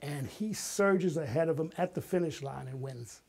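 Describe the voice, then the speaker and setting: A man's voice: fairly high pitch, moderate pace, vocal fry, a little mellow, slightly rough. An older man, an interview guest, telling personal stories in a dramatic, emphatic way and acting out quotes. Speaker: interview guest, retired artist and playwright. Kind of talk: animated personal storytelling.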